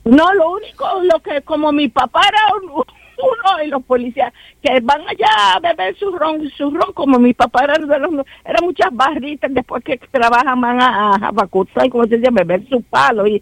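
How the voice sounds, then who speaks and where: A woman in her seventies, a retired teacher's assistant, chatting as a guest and spelling words out slowly with English mixed in. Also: sits high, no room sound, rough recording. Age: 70s. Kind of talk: casual on-air chat.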